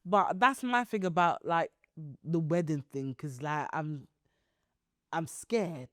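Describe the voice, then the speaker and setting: A woman with a stuffy, blocked-up nose co-hosts a casual podcast. She talks with lively, playful energy and slips into laughter and mock voices. The sound is close and dry. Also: sits low, slow, dry recording. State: stuffy nose from hay fever. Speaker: podcast co-host. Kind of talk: casual chat.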